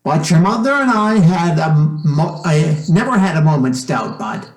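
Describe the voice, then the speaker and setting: A man playing a mild middle-aged father in an old-time radio drama, gentle and halting. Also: on the high side, lively intonation. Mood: relieved. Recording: roomy echo, music behind.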